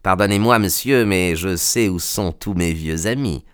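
A man's voice: sits low, normal speed, no room sound, lightly acted. A sly old seaman, voiced by a male narrator, servile on the surface with menace underneath. The voice is cleanly recorded and dry, in an audiobook style, and insinuates more than it says. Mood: servile, insinuating. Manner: obsequious, faintly menacing.

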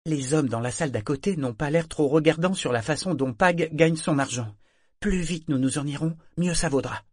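Jeune marin femme jouée par un homme, voix plus aiguë, sèche et lasse, articule avec soin. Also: male voice, fairly high pitch, normal speed, a little mellow, dry recording, studio quality, pitched above the performer's own voice, lightly acted. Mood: curt. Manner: careful, weary, clipped delivery. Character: young woman sailor disguised as a boy, dazed, curt.

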